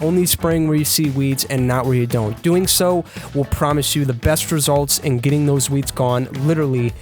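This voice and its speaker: Upbeat lawn care guy walking you through a how-to, kinda high voice, faint music behind. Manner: chatty, addresses viewers as "you guys".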